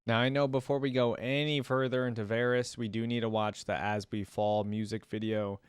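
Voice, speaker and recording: A man's voice: mid-range voice, flat delivery, a little fast, clear, resonant tone, no echo. A man hosting a reaction video, commenting casually and musingly with lots of conversational filler words. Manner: musing, casual, often says "like" and "you know". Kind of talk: casual commentary, reading a story aloud.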